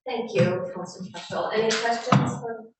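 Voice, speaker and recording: A woman chairing a council meeting, briskly calling on speakers. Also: fairly high pitch, over music. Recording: echoey room, far from the mic.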